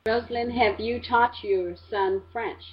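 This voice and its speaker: A woman's voice: a little high, fairly even intonation, unhurried. A woman from Saint Lucia hosting a radio interview, encouraging her guest as she asks questions. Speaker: radio show host. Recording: dull lo-fi radio sound.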